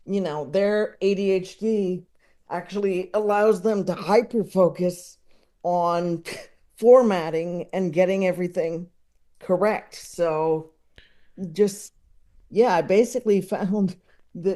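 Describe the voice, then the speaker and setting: A man chatting casually as a podcast guest, talking in a halting, rambling way. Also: high voice, warm tone. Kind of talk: casual interview chat.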